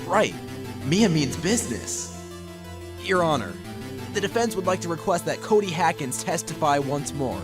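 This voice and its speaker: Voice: mid-range voice, sing-song, normal speed, close to an everyday voice. An actor playing an earnest, dogged young male defense lawyer in a fan-dubbed courtroom drama, pressing hard yet sometimes unsure. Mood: steady. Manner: pressing, declamatory, hesitant asides. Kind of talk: fan dub courtroom game acting. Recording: amateur dub with clear music behind.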